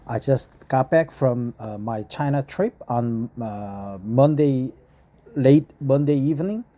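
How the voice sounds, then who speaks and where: Korean-accented man, english learner, very slow and halting, dark mellow tone. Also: medium pitch. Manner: halting, many "uh" fillers, repeats phrases to practice. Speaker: vlogger. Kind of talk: reading an article aloud to practice English.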